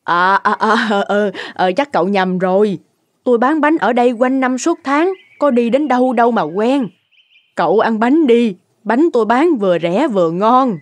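A woman's voice: a little high, expressive, normal speed, no echo, close to an everyday voice. A woman voicing a sly, murderous middle-aged stepmother who wheedles as a cake seller in a cartoon fairy tale. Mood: sly, wheedling. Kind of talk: animated fairy-tale dubbing. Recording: music under the voice.

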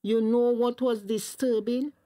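A woman's voice: fairly high pitch, steady tone, a little slow, warm tone, dry recording, lightly acted. An actress with a Tobagonian accent playing an anxious, fussing middle-aged mother in a radio drama.

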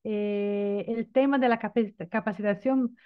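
A middle-aged woman with an Italian accent, Italian her native language, speaking at an online event, lively and informal, searching for words. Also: mid-range voice, normal speed, clear, resonant tone. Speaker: invited speaker. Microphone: video call. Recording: tinny phone-like audio.

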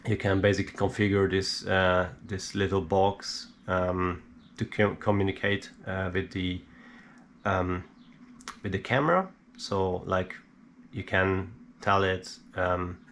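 Deep, gravelly, halting man. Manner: halting, hesitant, thinking aloud. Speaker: DIY electronics hobbyist presenter. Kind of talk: casual unscripted hands-on explainer. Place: small indoor home workshop. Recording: steady background noise.

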